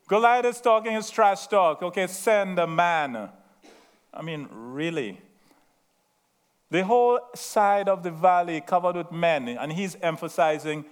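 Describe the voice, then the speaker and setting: A middle-aged man with a Trinidadian accent, preaching a sermon in a chatty, self-mocking way and often checking in with the room. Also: sits high. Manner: chatty, laughing at himself, asks the room "right?". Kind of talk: sermon with asides and stories.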